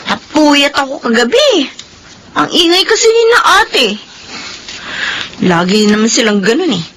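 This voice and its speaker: Casting: young expectant Filipino sister, plaintive, high bright voice, radio drama. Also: female voice, sing-song, unhurried, slight background noise, exaggerated voice. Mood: weary grumbling.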